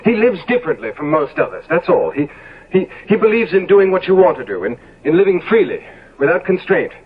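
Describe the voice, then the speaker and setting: An actor playing an impressionable, vain and nervous young gentleman in a 1970s radio drama, stammering and hesitant as he speaks. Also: high voice, sing-song, a little fast, breathy edge, heavily acted. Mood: defensive. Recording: dull lo-fi old radio broadcast.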